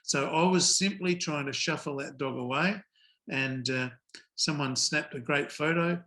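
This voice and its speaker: An older man tells anecdotes as a podcast guest. His voice sits a touch high for a man, and his humour is dry and understated. He speaks at a measured pace with frequent small pauses. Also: sharp tone. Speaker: guest, former cricket umpire. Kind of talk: relaxed storytelling in a podcast interview.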